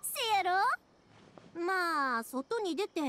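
A woman's voice: very high voice, slow, a little hiss or hum, exaggerated voice. A woman playing a cheerful, chatty young fireworks craftswoman with a Kansai accent in an anime game. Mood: earnest, hopeful. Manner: peppy, animated, talkative. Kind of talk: Japanese anime video game voice acting. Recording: background music behind dialogue. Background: game soundtrack music.

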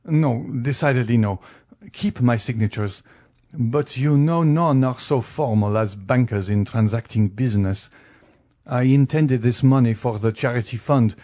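A man's voice: medium pitch, warm tone, natural voice. A man voicing a nervous, flustered male banker in an audiobook, hesitant and trying to keep his composure. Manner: stammering, hesitant. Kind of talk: audiobook character voice.

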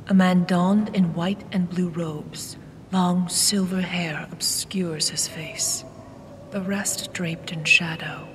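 A woman acting as a solemn seer in a fantasy audio drama, her voice fairly low and very unhurried. She speaks with breathy, ominous formality and halting phrases, with stormy ambient noise behind her. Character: solemn, troubled mystical woman who reads memories.

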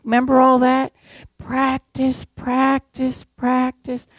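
A woman's voice: high voice, completely flat delivery, takes it slowly, dry recording. An older woman from Massachusetts preaching a sermon from a church pulpit, telling stories and asking the congregation rhetorical questions. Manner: conversational storytelling with rhetorical questions.

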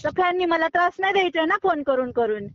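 A high-voiced woman argues on a phone call. She is firm and exasperated in an unscripted exchange. The audio is close and dry, slightly dull and lo-fi. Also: expressive, quick pace, dry recording. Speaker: online recipe video creator receiving a prank call.

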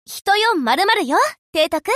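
A young anime warship girl for a game, devoted and cheerful. Her voice is extremely high and bright, with a perky, girlish delivery that swings widely in pitch. She speaks with sweet familiarity, like an earnest secretary. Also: expressive, normal speed, dry recording, clean studio sound. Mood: friendly, chatty. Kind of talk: Japanese game voice acting, short scripted lines.

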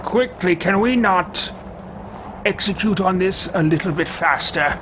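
Haughty slow-talking man roleplaying a game. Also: sits high, noisy background. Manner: haughty, dry, joking asides. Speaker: let's play host roleplaying his character. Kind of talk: in-character commentary over gameplay. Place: home studio with bookshelves. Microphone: headset.

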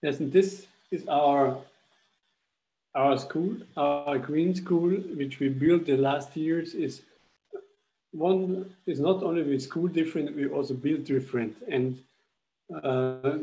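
A man's voice: on the high side, dark tone, low-quality recording. Austrian man presenting over a video call. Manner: halting, restarts phrases while explaining slides. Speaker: charity founder presenting a school project. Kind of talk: webinar presentation. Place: indoors at home. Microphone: laptop webcam video call.

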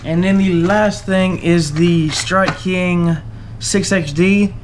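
A man's voice: high-pitched, slight background noise. A young man with a Southern US accent, hosting his fishing channel and casually walking through tackle for a giveaway, with plenty of uh and um. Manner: relaxed, halting, lots of uh and um. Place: indoors at a table, camera overhead.

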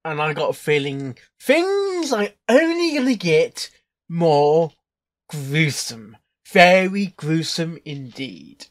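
A young man giving halting, casual reaction commentary as the host of a reaction video, muttering short interjections. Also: a little high, very animated, dry recording, studio quality. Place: indoor room at a computer, headset on.

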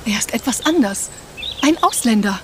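A woman's voice: a little high, animated, normal speed, fairly bright tone, a little hiss or hum. An actress playing a snobbish, discreetly disapproving woman in a crime radio play. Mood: haughty, confiding.

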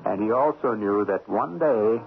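A man's voice: fairly low pitch, measured pace, gravelly. A man narrating as host of a radio drama in a theatrical, knowing, teasing way. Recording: muffled vintage radio recording. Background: music.

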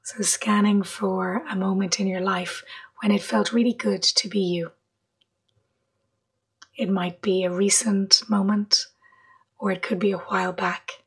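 A woman leading a guided meditation in a soft, breathy voice, gently, with long pauses between short phrases. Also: medium pitch, little pitch movement. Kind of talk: guided meditation, slow and measured.

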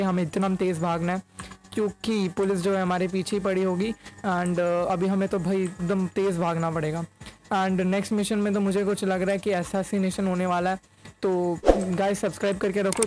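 A young man giving casual gameplay commentary as a YouTuber, speaking close to a microphone. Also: sits high. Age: young adult. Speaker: gaming YouTuber. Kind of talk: casual gameplay commentary mixing Hindi and English. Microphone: close microphone in front of face.

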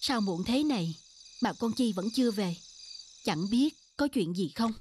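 A woman's voice: mid-range voice, expressive, moderate pace, darkish tone, a little hiss or hum, close to an everyday voice. A woman voicing a caring but insistent widowed mother in a cartoon drama, coaxing firmly. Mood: firm, concerned. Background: background music.

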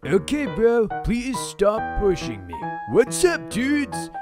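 Woman doing a lively slangy dude joker. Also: a little high, slow, over loud music, heavily acted. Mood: breezy, joking. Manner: slangy, breezy, mock-grandiose dude talk. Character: laid-back surfer-dude joker from a video game. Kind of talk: video game character outro.

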